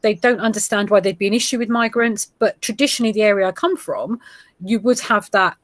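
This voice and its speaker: A woman in her forties, a geography teacher with a Gujarati heritage, explaining ideas to other teachers in a steady way with small fillers. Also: medium pitch, fairly quick, no echo, studio quality. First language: Gujarati heritage, English now first. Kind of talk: presentation to teachers.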